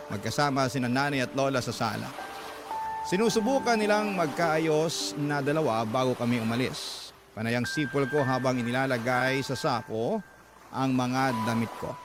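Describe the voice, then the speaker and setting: Filipino male narrator voiced by an actor, medium pitch, reflective first-person storytelling for radio drama, prominent music underneath. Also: lightly acted. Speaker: letter-sender narrator.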